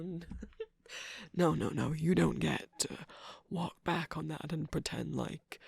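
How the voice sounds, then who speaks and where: Someone doing a tired smug cartoon trickster villain, super creaky n breathy, slow teasing drawl, whispery asmr roleplay. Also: mid-range voice, no room sound, full character voice. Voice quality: breathy, husky, creaky, often dropping into whisper. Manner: drawling, teasing, mock-grand. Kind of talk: ASMR character roleplay, intimate acting.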